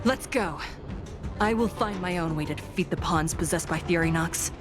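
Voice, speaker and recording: A woman plays a dutiful warrior in a video game, composed and authoritative. Her slightly low voice is formal and measured, set over background music. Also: natural voice. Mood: resolute.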